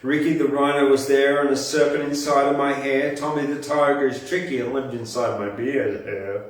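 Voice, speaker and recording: A man's voice: mid-range voice, steady tone, normal speed. An Australian man hosting a poetry show, reciting and chatting in a rhythmic, theatrical way. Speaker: poetry show host and poet. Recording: very echoey room, distant from mic.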